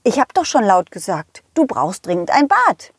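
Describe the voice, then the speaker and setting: Woman as a husky, playful storybook dog. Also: mid-range voice, very animated, normal speed, no room sound, studio quality. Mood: encouraging. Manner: playful, addresses the children directly. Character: confident, loyal, helpful male dog telling his adventure. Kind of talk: children's audio play narration.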